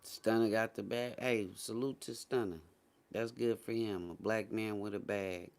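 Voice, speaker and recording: A man's voice: fairly low pitch, fairly even intonation, a little slow, gravelly, darkish tone. A man hosting a casual live stream, chatting with viewers in a slangy, boastful, teasing way.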